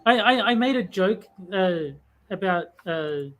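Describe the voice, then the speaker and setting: A woman co-hosting a casual talk show, winding through a story with many restarts and fillers. Her voice sits at an ordinary middle pitch. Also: animated, unhurried, a little hiss or hum. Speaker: podcast co-host. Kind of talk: casual chat.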